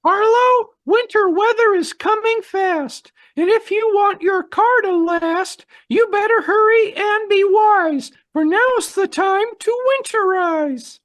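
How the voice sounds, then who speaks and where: A woman's voice: very high voice, takes it slowly, few pauses, dry recording. A woman voicing a chirpy little mascot who speaks in sing-song rhyme in an old-time radio commercial. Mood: perky, urging. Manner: sing-song rhyming delivery.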